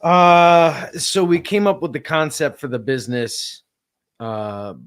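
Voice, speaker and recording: A man's voice: a little high, lively intonation, slow, clean tone. A man in his forties from Connecticut, an interview guest explaining things casually in a plainspoken, matter-of-fact way. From Norwich, Connecticut, United States. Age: 40s. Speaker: interview guest, cidery owner.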